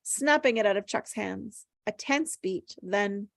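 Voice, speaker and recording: A woman's voice: mid-range voice, a little slow, creaky, dry recording, studio quality. A woman reading stage directions aloud from a script at a table read, as scripted narration.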